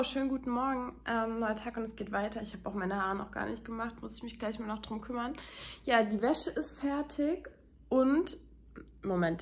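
A young woman chatting casually to the camera as a vlogger at home, rambling a little with fillers. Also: medium pitch. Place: apartment living room and kitchen.

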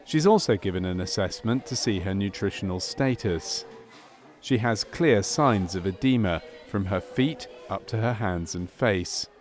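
A man reading scripted documentary narration about a medical case in a measured, clinical manner. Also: fairly low pitch, darkish tone.

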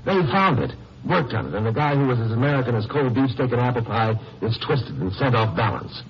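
An actor playing a steady, wry lawyer-sleuth hero in a 1950s radio crime drama. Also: male voice, mid-range voice, brisk, close to an everyday voice. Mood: earnest. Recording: muffled, noisy old radio recording.

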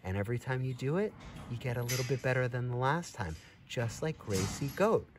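A middle-aged man reads a picture book aloud to children in an expressive, encouraging way, with friendly asides to his listeners. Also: medium pitch, fairly quick, background noise. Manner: expressive, encouraging, addresses children and animal directly. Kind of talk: animated storybook reading with friendly asides to young listeners. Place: outdoors in a grassy farmyard by a barn. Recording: clear outdoor background ambience.

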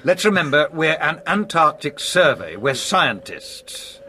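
An actor playing a weary, placating commander of a research station in a radio drama, speaking hesitantly. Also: male voice, on the high side, big pitch swings, a little slow, sharp tone, heavily acted. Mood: grave. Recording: noisy ambience behind the voice.

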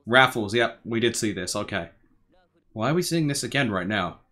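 A young man giving casual, slangy reaction commentary, musing aloud in a laid-back, tired, low-energy way. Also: expressive, normal speed, pushed and bright. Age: young adult. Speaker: anime reaction host. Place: small bedroom, indoors.

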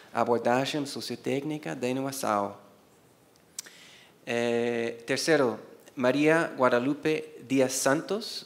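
Halting slow-spoken non-native man presenting. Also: mid-range voice. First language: not Spanish. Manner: hesitant, many fillers and restarts, stumbles over names. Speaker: award presenter. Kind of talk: reading award nominees at a conference. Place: university auditorium, seated at a panel table. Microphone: desk microphone on a stand.

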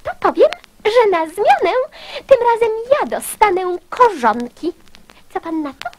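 A woman's voice: very high-pitched, very animated, unhurried, exaggerated voice. A woman playing a gullible, plaintive swallow in a dramatized children's verse, speaking expressively. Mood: determined.

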